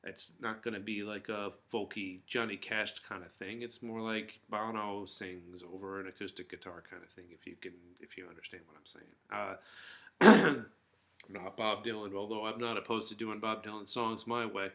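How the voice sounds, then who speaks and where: A man's voice: on the low side, steady tone, normal speed, creaky, fairly bright tone. A middle-aged man rambling casually to his viewers, loose and full of filler words and restarts. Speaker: vlogger.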